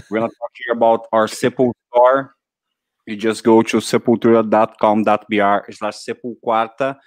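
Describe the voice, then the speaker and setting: A man co-hosting a live video Q&A walks viewers through the band's web store in an easy, unhurried way. His voice sits low and dry on a headset mic. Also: no echo. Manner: relaxed, promo-style plugging of the store. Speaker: band singer and co-host. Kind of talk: live Q&A chat. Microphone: headset microphone on video call.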